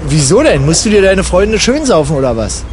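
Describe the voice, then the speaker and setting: A man's voice: high voice, very animated, fairly quick, noisy background. A man playing a scatterbrained, boastful traveller in a comic radio play, with a Berlin accent and a rambling, repetitive, colloquial way of talking. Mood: casual, needling. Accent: Berlin German. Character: scatterbrained, boastful male traveller proud of forgetting things.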